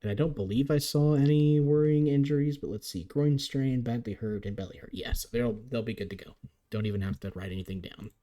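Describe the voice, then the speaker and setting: A man giving chatty, upbeat live commentary on a game as league commentator, his voice getting a little raspy. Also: mid-range voice, a little fast, warm tone. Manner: chatty, upbeat play-by-play with frequent restarts.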